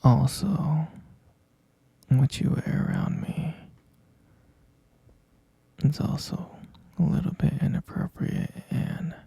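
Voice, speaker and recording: A hesitant, concerned middle-aged stepfather, acted by a man in an audio roleplay. He speaks slowly in a half-whisper, halting between phrases. The voice is very dark and full of gravelly creak. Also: fairly high pitch, no room sound, heavily acted. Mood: hushed concern. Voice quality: breathy, gravelly. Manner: halting, hushed, drawn-out delivery. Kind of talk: scripted ASMR roleplay.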